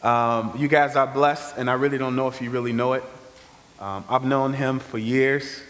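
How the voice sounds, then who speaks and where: A man giving a lively, conversational testimony sermon as a guest speaker, full of slang. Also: mid-range voice, moderate pace. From Chicago. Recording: reverberant hall.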